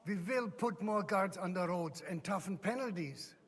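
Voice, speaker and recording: A man playing a meek, apologetic royal official in a video game, husky and placating. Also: sits high, normal speed. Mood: anxious, placating. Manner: hesitant, placating. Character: meek, apologetic official answering to his king. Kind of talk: video game drama acting. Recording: long hall reverb.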